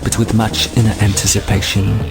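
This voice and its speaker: Low, gravelly male radio narrator. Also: level tone, fairly quick, music in the background, low-quality recording. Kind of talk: scripted comic narration, science fiction radio.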